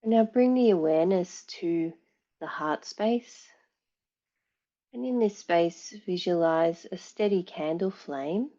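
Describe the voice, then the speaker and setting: A woman teaching yoga nidra, guiding relaxation in a calm, soothing, measured way with long pauses. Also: lowish voice, lo-fi sound. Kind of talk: guided relaxation, meditation instruction.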